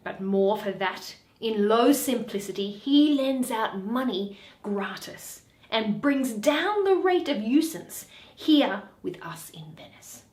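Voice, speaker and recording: A woman's voice: a little high, animated, takes it slowly, few pauses, darkish tone. A woman voicing a shrewd, bitter male moneylender in a Shakespeare play, drawing out and weighing each phrase. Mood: bitter, hateful. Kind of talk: dramatic Shakespeare reading. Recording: roomy echo.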